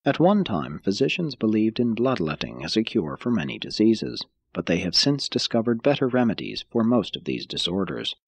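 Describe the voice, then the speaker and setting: Husky, expressive male audiobook narrator. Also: on the low side, no echo. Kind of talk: formal scripted audiobook narration.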